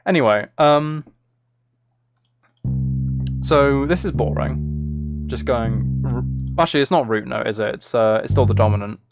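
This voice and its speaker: A man's voice: mid-range voice, measured pace, gravelly, pauses often. A man chatting casually on a livestream, thinking aloud in a self-deprecating way as he makes music. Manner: self-deprecating, hums and sings out rhythms. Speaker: live streamer making music.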